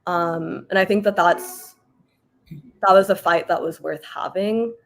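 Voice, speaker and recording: A young woman talks in a fairly low voice that is slightly hoarse today. She reflects aloud in a group discussion, leaning on fillers and restarting her sentences as she works out her thoughts. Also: unhurried, a little hiss or hum. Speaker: exhibit organizer.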